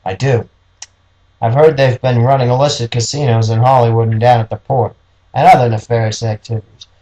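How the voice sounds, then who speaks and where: A man playing a determined, smooth-talking noir detective hero with terse, slangy speech in a radio play. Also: mid-range voice, steady tone, fairly quick, warm tone, voice pushed down, natural voice, low-quality recording.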